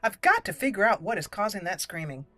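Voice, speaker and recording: A woman's voice: mid-range voice, expressive, a little fast, a bit bright. A woman giving casual, playful let's play commentary on a game, thinking aloud as she goes. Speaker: gaming channel host and player. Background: game music.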